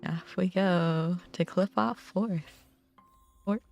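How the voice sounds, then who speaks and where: A woman's voice: fairly low pitch, slow, vocal fry, darkish tone. A woman live-streaming a game playthrough, reading dialogue aloud and reacting casually. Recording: music in the background. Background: game music.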